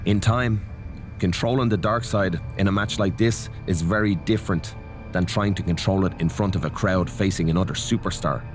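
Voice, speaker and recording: An actor plays a cautious male mentor in a wrestling video game. His voice is very low, with a grave and measured delivery, as if always warning. Music runs clearly behind him. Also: lightly acted. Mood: measured caution. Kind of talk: video game cutscene acting.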